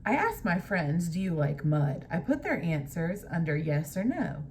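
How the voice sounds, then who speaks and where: Woman reading as an eager, slightly higher-pitched storybook animal. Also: big pitch swings, moderate pace, a little mellow, slight background noise. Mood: proud. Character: eager friendly animal character from a children's picture book.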